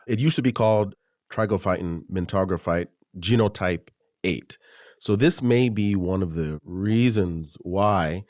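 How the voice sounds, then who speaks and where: A man hosting a medical podcast, asking measured, thoughtful questions. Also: low-pitched, darkish tone, no echo, clean studio sound. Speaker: podcast host, physician. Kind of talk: interview questions.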